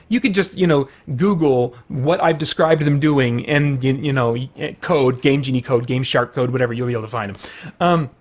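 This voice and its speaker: A middle-aged man hosting a video with casual, rambling, enthusiastic chat full of loose asides. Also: a little high. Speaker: video host.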